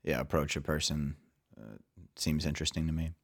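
A man's voice: very deep voice, fairly even intonation, fairly quick, creaky, slightly rough. A man co-hosting an advice podcast, chatting casually with a dry, wry manner and reading out listener questions. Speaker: podcast co-host.

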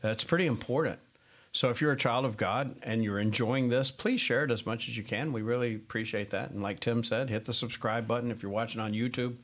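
A man's voice: medium pitch, fairly quick, fairly bright tone. Chatty laughing male podcast host. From United States. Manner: chatty, laughs often, many fillers. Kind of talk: casual chat.

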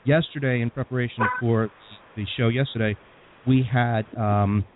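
A man's voice: mid-range voice, steady tone, normal speed, noisy background. Male radio host, friendly chatter, phone-like thin recording. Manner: chatty, many fillers. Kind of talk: radio interview. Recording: tinny phone-like sound.

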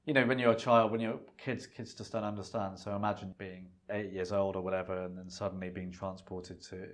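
A man's voice: low voice, little pitch movement, fairly quick. A middle-aged man whose first language is Punjabi, speaking as an interview guest in a reflective, rambling way with many fillers and restarts. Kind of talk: relaxed conversational interview.

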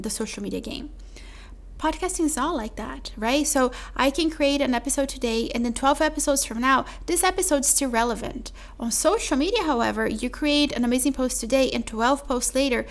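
A woman hosting her own podcast, talking chattily to the listener with a mellow voice and calling them "my love.". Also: on the high side. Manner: chatty, calls listener "my love", says "like" often. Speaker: podcast host. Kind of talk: solo podcast sales pitch.

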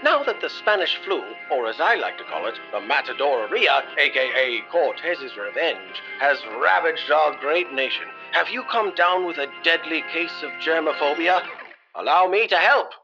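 An actor playing a pompous old-time germophobic advice-giver in a theatrical comic radio monologue. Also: male voice, on the high side, expressive, moderate pace. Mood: pompous, brisk. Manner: theatrical, pompous, swinging into hysterical shouting. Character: pompous male 1918 germophobic advice-giver, unravelling into panic. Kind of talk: comedic radio-play monologue as a mock advice log. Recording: dull lo-fi sound, faint music behind.

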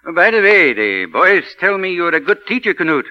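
An actor playing a gruff, fatherly middle-aged priest and science professor in 1940s radio style, emphatic, on a vintage broadcast recording. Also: high-pitched, big pitch swings, a little fast, highs cut off. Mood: fatherly, intent.

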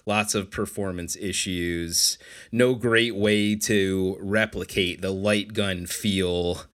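A man with a very low-pitched voice co-hosts a gaming podcast. He talks in a casual, conversational way with a wry edge. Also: steady tone, slow, a bit bright. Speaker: podcast co-host. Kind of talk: casual chat about video games.

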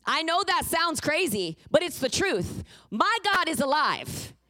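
A woman's voice: sits high, very animated, a little slow, bright, forceful tone, dry recording. A woman preaching a fervent sermon, moving between emphatic declarations and a personal testimony told as a story. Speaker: guest preacher.

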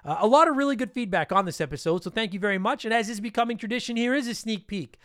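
A high-pitched man rattles through his podcast intro at a quick clip, sounding stuffed up from allergies. His voice is clean and smooth, recorded dry and close on a podcast mic, with a loose, rambling, profane way of talking. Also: fairly bright tone, no room sound. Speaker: podcast host. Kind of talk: casual podcast intro monologue.

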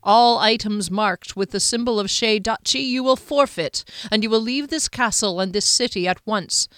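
A woman reading an audiobook voices a stern, powerful middle-aged male duke who speaks with measured, icy formality. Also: mid-range voice, fairly bright tone, clear, resonant tone, no room sound, clean studio sound, voice pushed up, natural voice.

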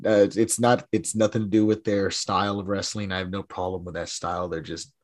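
A man co-hosting a podcast in casual chat, his voice raspy from a weekend of yelling, rambling and trailing off into mumbles. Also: low-pitched, flat delivery, a little fast. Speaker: podcast co-host.

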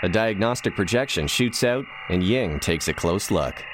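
A man's voice: on the low side, animated, normal speed, lightly acted, poor audio. A man playing a wry, tongue-in-cheek narrator of scripted sci-fi comedy, with theatrical flair and conversational asides. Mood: wry storytelling. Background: music.

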